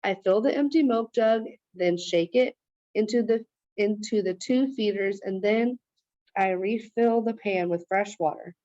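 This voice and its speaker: A woman's voice: medium pitch, measured pace, dark tone, lightly acted. A woman voicing an anxious, protective and easily irritated young boy in a storybook, earnest and strained, on a muffled recording.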